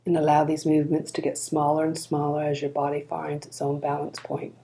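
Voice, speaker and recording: Woman doing a slow guided body scan, really gravelly vocal fry, soft mellow tone, calm and gentle. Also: low-pitched, a little hiss or hum, low-quality recording. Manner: calm, gentle, unhurried instruction. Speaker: meditation guide.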